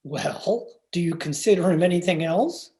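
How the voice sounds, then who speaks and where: A woman playing a haughty, disapproving, stuffy married gentleman in an old-time radio drama. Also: lowish voice, moderate pace, natural voice. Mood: haughty, dismissive.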